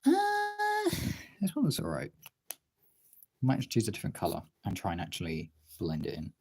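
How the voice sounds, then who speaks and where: Low gravelly streamer voice, swings up and down a lot, rambly self-deprecating thinking out loud, bit of noise and clicks. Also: huge pitch swings, moderate pace. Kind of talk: casual livestream chat while drawing.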